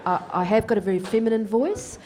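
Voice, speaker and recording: A woman's voice: mid-range voice, fairly quick, crowd noise. A woman voicing a Deaf man on a panel, in the first person, hesitant with many fillers. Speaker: sign language interpreter voicing a Deaf male panellist.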